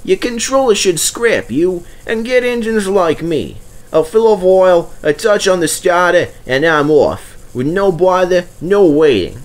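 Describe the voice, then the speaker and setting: A man's voice: high voice, expressive, unhurried, voice pushed up, poor audio. A man voicing a big, smug, boastful diesel engine in a children's story, with a haughty manner. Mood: smug, scornful.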